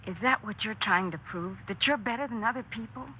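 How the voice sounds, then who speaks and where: A woman's voice: mid-range voice, brisk, sharp tone, natural voice. An actress playing an earnest, troubled young girlfriend in a 1950s radio drama, speaking hesitantly. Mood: hurt, anxious. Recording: muffled 1950s radio broadcast, faint noise.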